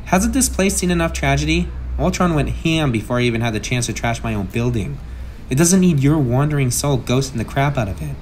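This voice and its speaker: Masked comic antihero, played by a man, sarcastic, brash, theatrical, medium pitch, some background noise. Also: expressive, a little fast, a little mellow, natural voice, low-quality recording. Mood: glib, joking. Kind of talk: comic book dub, character acting.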